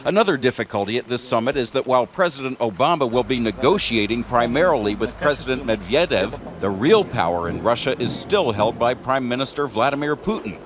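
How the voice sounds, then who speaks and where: A man news correspondent giving a scripted report in an even, formal delivery. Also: medium pitch, brisk, few pauses, a crowd in the background.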